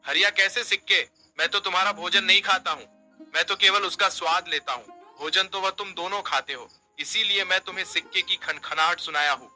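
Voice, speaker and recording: A man voicing a shrewd, genial, food-loving wealthy merchant in a cartoon moral story, speaking in a deliberate, declamatory way. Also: high voice, pushed and bright, faint music behind, low-quality recording. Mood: explaining. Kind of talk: animated moral story dialogue.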